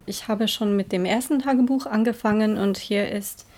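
A woman's voice: mid-range voice, normal speed, darkish tone, faint music behind. A woman from the United States, a native English speaker with an American accent, answering interview questions as an academic. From United States, Colorado.

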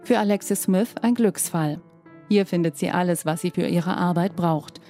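A woman's voice: fairly low pitch, moderate pace, warm tone, faint music behind. A woman reporter reading scripted narration for a news feature.

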